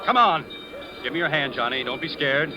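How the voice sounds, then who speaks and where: An actor playing a brooding, obsessive American fire inspector who narrates and acts in a 1950s radio thriller, intense and confessional. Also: male voice, fairly high pitch, lively intonation, brisk, muffled recording, close to an everyday voice. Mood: urgent. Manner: intense, dramatic first-person confessional. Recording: vintage radio drama with music behind.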